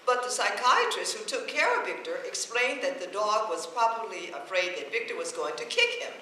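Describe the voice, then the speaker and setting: A woman's voice: medium pitch, very animated, normal speed, pushed and bright. An actress playing a scatterbrained, kindly older landlady in a vintage radio comedy, chatty and dithering as she rambles off track. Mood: earnest storytelling. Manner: dithering, rambling, easily sidetracked. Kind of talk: vintage radio comedy acting before a laughing audience. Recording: echoey old radio stage recording, faint noise.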